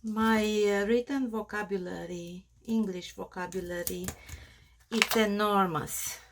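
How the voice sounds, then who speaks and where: A woman with a strong Romanian accent, Romanian her first language, walking viewers through a craft project in halting speech with many pauses and fillers. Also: medium pitch, fairly even intonation, noisy background. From Australia. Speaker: craft tutorial host. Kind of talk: crafting tutorial narration.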